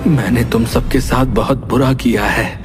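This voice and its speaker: A man's voice: mid-range voice, normal speed, natural voice. Casting: male actor as young prince for a cartoon fable, earnest, dramatic, humbled, music bed underneath. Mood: remorseful. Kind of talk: Hindi animated moral story voice acting.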